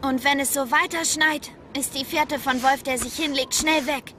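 A woman's voice: high voice, fairly even intonation, moderate pace, background noise, close to an everyday voice. A woman voicing a brave, kind young boy hero in a German cartoon dub. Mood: determined.

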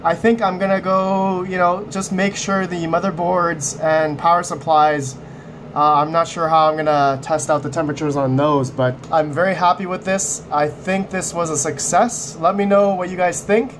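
A man with a slightly raised pitch talks casually to his viewers in an upbeat, chatty way. He is losing his voice as he goes. A faint hiss of noise sits under him. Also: fairly even intonation, normal speed. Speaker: mining channel host. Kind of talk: casual hands-on video commentary. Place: condo room with mining rigs.

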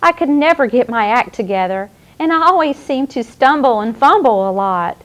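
A woman as a high, gentle clown hostess. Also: animated, moderate pace, lightly acted, poor audio. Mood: gentle, caring. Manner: gentle, encouraging. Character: sweet, nurturing young female clown hostess. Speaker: children's show host.

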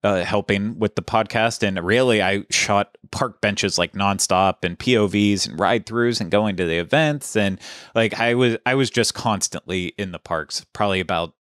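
A man in his thirties chatting casually as a podcast producer, with a flat, monotone delivery into a studio mic at home. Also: on the low side, normal speed, no echo. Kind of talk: casual chat. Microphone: studio mic on boom arm.

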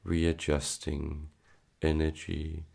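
An extremely low-voiced man guides a meditation at a very slow pace. His delivery is solemn and hypnotic, broken into short phrases with a steady, nearly monotone line. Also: creaky. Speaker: channeler leading a guided meditation.

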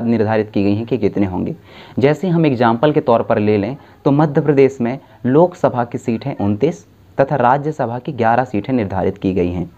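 A man's voice: mid-range voice, dark tone, lo-fi sound. A young man giving an exam-prep civics lesson to camera, explaining step by step and often correcting himself. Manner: explains slowly with self-corrections and restarts. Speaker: civics tutor. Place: dim indoor room, seated at a desk.

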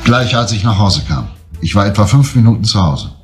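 A serious old-school tv detective, deep husky voice, slow and steady. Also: male voice, soft background music, exaggerated voice. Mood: grave. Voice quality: low, husky, measured. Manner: calm, grave, deliberate. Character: stern male chief police inspector, middle-aged. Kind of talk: 1970s TV crime drama dialogue.

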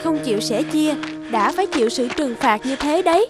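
Fairy-tale narrator: woman, high-pitched, scripted storytelling over clear background music. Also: lively intonation, normal speed.

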